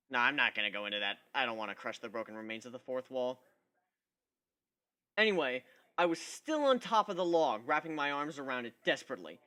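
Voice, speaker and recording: A man's voice: on the high side, sharp tone. A man giving an amateur first-person dramatic reading of a story in a wry, conversational manner. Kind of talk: first-person dramatic narration of fan fiction.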